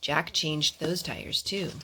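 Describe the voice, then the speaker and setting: A woman's voice: low voice, fairly even intonation, takes it slowly, background noise. A woman reading a picture book aloud to her young children, with singsong, coaxing asides to them. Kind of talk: storybook read-aloud with chatty asides to children.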